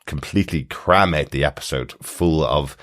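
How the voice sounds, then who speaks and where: Very deep-voiced man co-hosting a podcast. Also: moderate pace, fairly bright tone. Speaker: podcast co-host. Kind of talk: TV recap podcast discussion.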